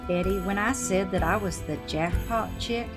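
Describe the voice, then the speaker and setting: A woman's voice: medium pitch, normal speed, voice pushed up, lightly acted. A woman playing a loyal, bossy best-friend sidekick horse in a comic radio play. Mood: hopeful. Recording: music behind the voice.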